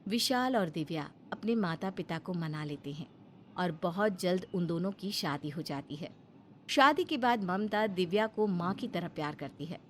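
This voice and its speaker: A woman's voice: lowish voice, slight background noise. A woman giving scripted narration of a family story as its narrator.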